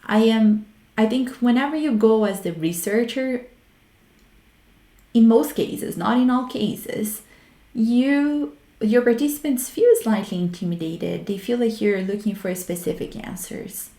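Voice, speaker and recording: A woman's voice: fairly high pitch, a little slow, warm tone. A woman from Brazil with a Brazilian Portuguese accent hosting a solo talk on research methods, frank and reflective, often stopping to restart her words. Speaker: host. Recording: roomy echo.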